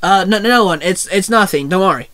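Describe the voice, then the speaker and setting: Male narrator as guilty young girlfriend in an audio story: higher-pitched, hedging, apologetic. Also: normal speed, fairly bright tone, dry recording. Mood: panicked. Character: flustered, evasive young college woman, guilty girlfriend.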